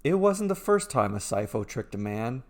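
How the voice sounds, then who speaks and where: A man narrating a scripted fairy tale as a storyteller, in a measured, deliberate way. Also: mid-range voice, very animated. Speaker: storyteller narrating his own fairy tales.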